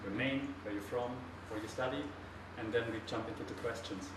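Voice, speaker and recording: A man from Germany hosting a casual student hangout, upbeat and quick to laugh. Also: medium pitch, noisy background. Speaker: host. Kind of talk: casual chat. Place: classroom. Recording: echoey room, far from the mic.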